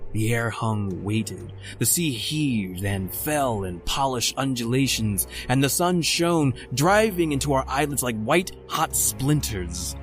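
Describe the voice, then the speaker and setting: An actor playing a cold, calculating, educated murderer in a radio horror drama, speaking formally and deliberately. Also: male voice, mid-range voice. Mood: grim narration. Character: cold, calculating educated murderer doctor, leader of escaped convicts. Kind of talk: old-time radio horror acting, narrating and dialogue.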